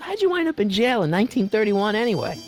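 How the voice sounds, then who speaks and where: An actor playing a quick-thinking American teenage boy in a video game, casual and earnest in delivery. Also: high-pitched, very animated, soft background music. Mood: concerned.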